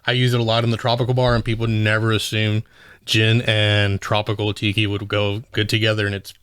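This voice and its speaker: A man with a fairly low voice talks in a loose, rambling way with many fillers. He is a bartender who is a guest on a casual podcast. The sound is dry. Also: completely flat delivery, brisk, fairly bright tone, smooth, no room sound. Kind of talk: casual chat.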